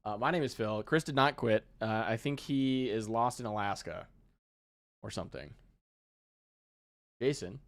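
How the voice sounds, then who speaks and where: A man's voice: fairly low pitch, measured pace, long pauses. Joking man in his thirties reading chat. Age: 30s. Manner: joking, teasing, reads chat aloud. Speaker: co-host of a hobby paint livestream. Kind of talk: casual livestream chat, reading viewer comments.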